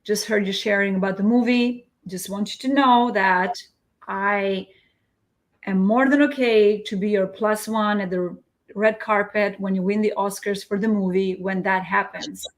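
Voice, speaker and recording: A woman from Albania hosting a casual live interview, telling a story in an animated way and laughing readily. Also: medium pitch, measured pace. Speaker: host.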